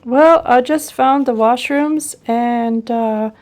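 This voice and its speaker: Lady doing a travel vlog, kinda high voice, takes her time, really enthusiastic. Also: slow, a little mellow, soft background music. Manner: enthusiastic, appreciative. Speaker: travel vlogger. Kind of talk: personal travel vlog narration.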